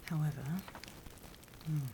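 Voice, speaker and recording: A British woman voicing a sly, wryly menacing demon instructor in a hushed, conspiratorial ASMR roleplay whisper, close to the microphone. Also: noisy background, natural voice. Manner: measured, hushed, dryly conspiratorial.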